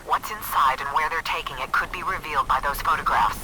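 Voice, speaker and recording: An actor playing a stern, authoritative military commander giving brisk, official mission briefings in a video game. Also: male voice, fairly high pitch, noisy background, lightly acted. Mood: grave, urgent. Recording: loud background music.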